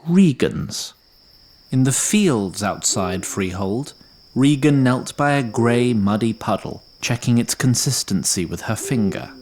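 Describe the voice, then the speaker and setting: A man reading scripted fantasy narration in a measured, literary, dryly wry manner. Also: mid-range voice, lively intonation, darkish tone. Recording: faint ambient noise.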